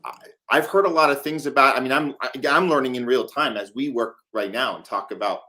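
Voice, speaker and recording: Halting male interviewer thinking out loud. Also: medium pitch. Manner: halting, repeats words while working out questions. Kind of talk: conversational interview.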